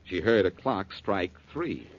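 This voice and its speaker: An actor with an American accent playing a confident, wry detective in a 1940s radio drama, assured and clipped. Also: male voice, low-pitched, expressive, takes it slowly, breathy edge, heavily acted. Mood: wry, explaining. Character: confident male detective, cool, wry and unflappable. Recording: muffled vintage 1940s radio recording.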